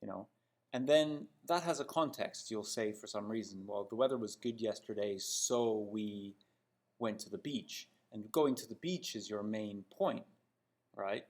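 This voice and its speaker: A man with a Canadian accent and a fairly low voice teaches English. He is didactic and emphatic, stressing example sentences and often checking in with short tag questions. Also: measured pace, a little mellow. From Toronto, Canada. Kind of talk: spoken English lesson critiquing example sentences.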